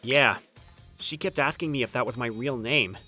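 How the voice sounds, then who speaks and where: A man's voice: mid-range voice, normal speed, sharp tone, slightly rough, soft background music. A young man playing a mild, sensible but easily spooked college student in a manga dub. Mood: uneasy. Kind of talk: manga dub narration and dialogue.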